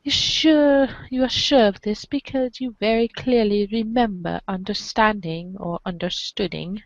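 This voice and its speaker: A woman's voice: mid-range voice, unhurried, dry recording, dull audio. A woman reading second-person comic narration aloud for a podcast. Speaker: reader in a webcomic reading podcast.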